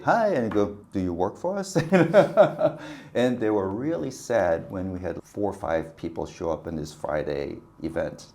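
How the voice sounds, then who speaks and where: Man, very deep voice, animated storyteller, laughs often. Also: a little hiss or hum. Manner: animated, laughs often, acts out quoted dialogue. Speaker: guest, business consultant. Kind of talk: casual interview storytelling.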